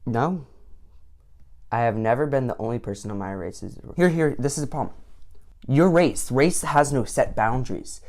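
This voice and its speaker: A man's voice: mid-range voice, moderate pace, darkish tone. A teenage boy giving sarcastic commentary on an online quiz, with mock-indignant outbursts. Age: late teens. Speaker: vlogger.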